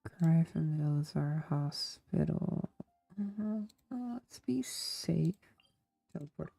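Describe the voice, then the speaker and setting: A woman's voice: sits low, lively intonation, slow, vocal fry, warm tone, rough recording. A woman streamer giving casual gameplay commentary, rambling and talking to herself with lots of fillers. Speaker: small streamer.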